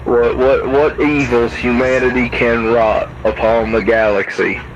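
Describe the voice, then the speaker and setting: A man's voice: mid-range voice, level tone, measured pace, over a noisy crowd. A man chatting casually over a game's CB radio, rambling and repeating words for emphasis. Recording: dull radio sound, loud vehicle noise. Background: truck and road sounds.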